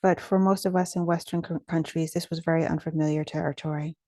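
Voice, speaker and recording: A woman leading a guided meditation in a gentle, soothing, measured way, with pauses between phrases. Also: low-pitched, steady tone, no room sound, clean studio sound.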